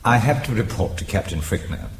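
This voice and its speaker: A man's voice: on the low side, expressive, fairly quick, a little hiss or hum, close to an everyday voice. An actor playing a wry, urbane Englishman with a British accent in a radio drama. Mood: flippant, evasive. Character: dry, flippant Englishman, quick-witted husband under suspicion.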